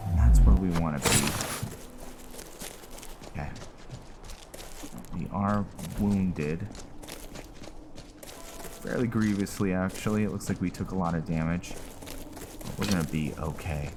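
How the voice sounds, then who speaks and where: A man giving casual commentary on his own video game playthrough, halting as he thinks aloud. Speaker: gaming video host narrating own playthrough. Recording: heavy background noise, rumbling game ambience.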